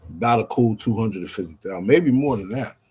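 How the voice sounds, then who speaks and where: Weary husky-voiced man in his fifties. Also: fairly low pitch, lively intonation, fairly quick, dark tone. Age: 50s. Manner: weary and venting, swearing freely. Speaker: livestreamer. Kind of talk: casual rambling live-stream monologue.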